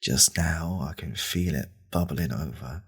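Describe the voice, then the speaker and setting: A man playing an angry, pompous right-wing podcast host in a deadpan parody monologue, with a deliberate, conspiratorial manner. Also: exaggerated voice. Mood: brooding anger. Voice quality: very deep, gravelly, husky.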